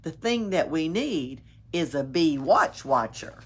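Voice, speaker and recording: A woman reading a children's storybook aloud in an expressive, playful way, adding her own asides. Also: deep voice, slow pace, husky. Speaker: story reader at a library. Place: library.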